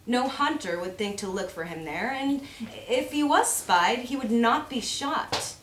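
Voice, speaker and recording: A woman reads reflective prose aloud in a fairly high voice, measured and contemplative. The room is echoey, with faint noise underneath. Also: expressive, fairly bright tone. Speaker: reader at a readers theater event. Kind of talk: live reading of a reflective prose piece.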